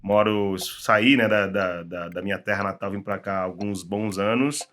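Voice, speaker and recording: A man's voice: fairly low pitch, steady tone, moderate pace, fairly bright tone. A Brazilian man from the northeast, a podcast guest chatting casually in a wordy, good-humoured way. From Salvador, northeastern Brazil.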